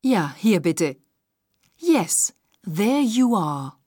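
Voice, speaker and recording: A woman reading phrases for a language course, slowly and carefully, recorded close to the mic. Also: mid-range voice, big pitch swings, darkish tone, no room sound. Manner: slow, careful, clearly articulated phrases.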